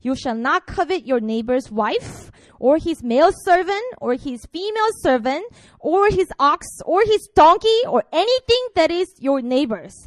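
A woman's voice: high voice, dry recording, clean studio sound. A woman in her twenties from Korea, a pastor preaching a casual, playful sermon to students. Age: 20s. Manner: chatty and playful, frequent fillers.

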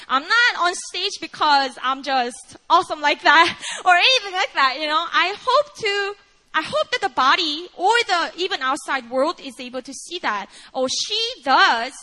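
Bright-voiced Korean woman preaching. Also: high voice, low-quality recording. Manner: earnest, animated, frequent "like" and "you know". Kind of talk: sermon with personal story.

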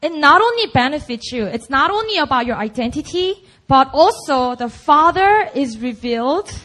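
A young woman preacher with a Korean accent and a high, bright-sounding voice. She talks to the congregation casually and with emphasis, tossing in "like" and "right?" between her points. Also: expressive, moderate pace. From Busan, South Korea. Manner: chatty and emphatic, full of "like" and "right?". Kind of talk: conversational sermon.

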